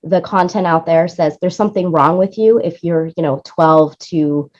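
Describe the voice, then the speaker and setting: Woman w/ a low voice, chatty interview guest explaining stuff, kinda dull lo-fi audio. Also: moderate pace, a little mellow. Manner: chatty, explanatory, often tags points with "right?". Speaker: interview guest, music supervisor and producer. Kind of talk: conversational interview talk.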